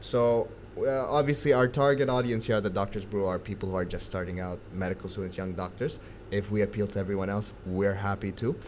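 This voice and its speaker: A man's voice: fairly low pitch, flat delivery, brisk, darkish tone. A young man hosting a casual podcast, chatty, with many fillers and frequent laughs. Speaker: podcast host. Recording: faint background noise.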